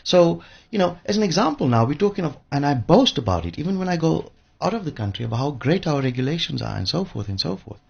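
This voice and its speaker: A man's voice: a little high, big pitch swings, fast, vocal fry, hardly any pauses, breathy edge, muffled recording. A man speaking as an expert guest in a conversational radio interview, emphatic and repeating words for stress. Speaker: studio guest, pension fund expert.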